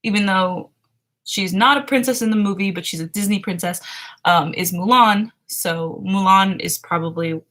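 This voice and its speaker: A woman's voice: mid-range voice, moderate pace, fairly bright tone. A young woman in her twenties chatting casually on a morning livestream from her bedroom, laughing often and trailing off with fillers. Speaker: livestream host. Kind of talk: casual chat with chat readers. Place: small home bedroom, indoors. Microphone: webcam framing at desk.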